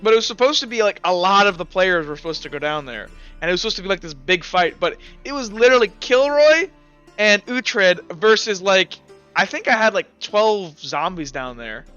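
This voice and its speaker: Casting: male game master, high bright voice, casual halting improvised narration for a tabletop game. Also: animated, clear, resonant tone, highs cut off, soft background music. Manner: halting, casual, full of "like" and "kinda".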